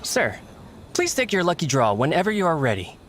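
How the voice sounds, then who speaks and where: An actress playing a friendly, courteous and attentive bookseller in a video game. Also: female voice, deep voice, very animated, fairly quick, a little hiss or hum. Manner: courteous, chatty shopkeeper.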